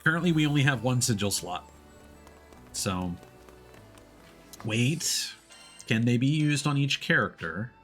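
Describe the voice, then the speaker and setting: A man's voice: medium pitch, measured pace, long pauses, faint music behind. A man giving playful, casual gameplay commentary as a streamer, sometimes reading game text aloud. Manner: playful, expressive.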